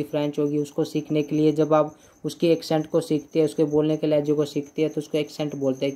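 A young man teaching English grammar in Hindi, going slowly through example sentences, repeating them and often checking "clear?". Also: a little high, mellow. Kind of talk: Hindi-language grammar lesson.

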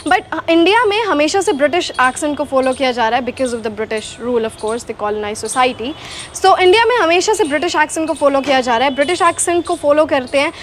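A young Indian woman from Haryana with a very high voice talks through her advice in a casual, explanatory way. She speaks into a clip-on mic in an open garden courtyard, with faint outdoor ambience behind her. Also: animated, normal speed, a little hiss or hum. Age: young adult. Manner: mixes Hindi and English, explanatory, gestures with hands. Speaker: guest, English accent coach. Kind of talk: casual interview answers. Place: outdoor garden courtyard under shade net. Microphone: clip-on lapel mic.

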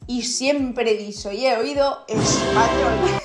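A woman's voice: fairly high pitch, slow, reverberant, over loud music. A young woman from Spain with a Castilla-La Mancha accent that drops her s sounds, chatting casually to camera as a vlogger and laughing often. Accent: Castilla-La Mancha Spanish, drops s sounds. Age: young adult. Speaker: YouTuber, Spanish teacher. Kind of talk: casual vlog reaction, reading comments aloud. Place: indoor room, wall with photos behind. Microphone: camera at close range.

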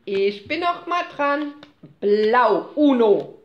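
Playful, teasing mom at a family card table, slightly high voice, recorded on a phone in an echoey room. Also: female voice, lively intonation, takes it slowly, muffled recording. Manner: playful, teasing, mock-indignant. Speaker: mother playing cards with her son. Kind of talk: casual family chat during a card game. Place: home room at a table, off camera. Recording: echoey room, faint noise.

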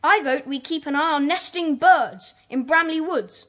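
A woman's voice: high voice, moderate pace, fairly bright tone. A woman playing a sensible, bossy schoolboy club leader in a children's audio drama, decisive and orderly.

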